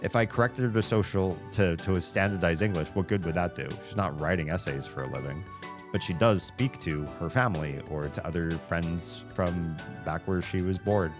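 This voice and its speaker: Southern us guy streaming, real low voice, rambles and cuts himself off, music going behind him. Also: normal speed. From Southern United States. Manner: rambling, self-interrupting, wry. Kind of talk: casual livestream chat while gaming. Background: background music.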